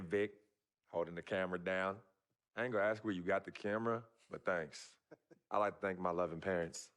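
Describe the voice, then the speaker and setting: An actor playing a confident, upbeat young college basketball prospect in a game cutscene, earnest with showy flourishes. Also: male voice, sits low, fairly bright tone. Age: young adult. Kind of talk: video game cutscene acting.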